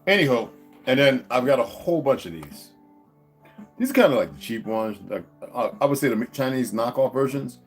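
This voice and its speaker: A man speaking at a middle pitch rambles on to his viewers from his room at home, stopping and restarting his sentences. Some soft guitar music plays faintly behind him. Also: sing-song, moderate pace. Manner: meandering, restarts, talks to his dogs in a high coaxing voice. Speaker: vlogger. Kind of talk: rambling casual vlog to viewers. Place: indoors at home.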